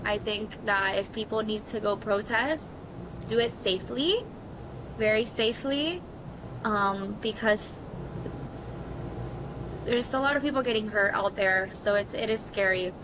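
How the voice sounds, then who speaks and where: A woman's voice: mid-range voice, a little slow, pauses often, background noise. A woman with an American accent talking casually as a podcast co-host. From Miami, Florida. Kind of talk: casual chat.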